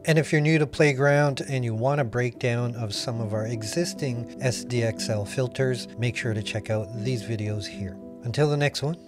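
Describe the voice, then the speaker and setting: A man in his thirties whose first language is Filipino, giving an upbeat, friendly software tutorial walkthrough. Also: mid-range voice, normal speed, hardly any pauses, music in the background. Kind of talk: screen-recorded software tutorial.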